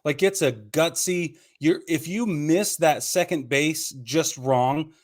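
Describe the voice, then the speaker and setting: A man's voice: a little high, slow. A man co-hosting a casual sports podcast, explaining his opinions point by point in conversational talk. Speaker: podcast co-host.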